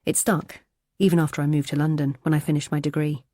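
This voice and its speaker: Female audiobook narrator, low and dark, measured delivery, dry close sound. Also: flat delivery, no echo. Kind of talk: first-person thriller narration.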